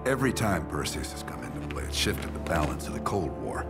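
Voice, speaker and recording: An actor playing a cool, hardened CIA officer in a video game, with an American accent and a terse, grave, controlled manner. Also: male voice, very deep voice, sing-song, fairly quick, gravelly, loud music behind the voice, exaggerated voice.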